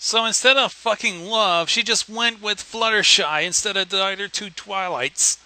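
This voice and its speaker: A man's voice: high-pitched, moderate pace, pushed and bright, rough recording. A man giving blunt, crude, casual reaction commentary as co-host of a story reading.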